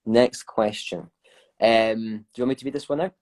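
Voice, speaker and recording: A man's voice: lowish voice, fairly even intonation, normal speed, a little mellow. Young scottish guy with a glasgow accent, easygoing chatter, trails off and restarts, laughs a lot. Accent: Glaswegian Scottish. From Scotland. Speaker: actor answering fan questions. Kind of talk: casual chat.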